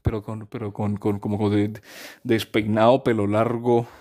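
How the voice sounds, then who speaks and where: A man's voice: on the low side, little pitch movement, moderate pace, warm tone. A man, talking casually and at length about music, rambling with many restarts and fillers. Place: home during a power cut.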